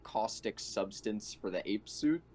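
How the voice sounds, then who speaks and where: A man's voice: fairly low pitch, expressive, a little slow, a little mellow. A man playing a tabletop game, chatting casually about rules and gear.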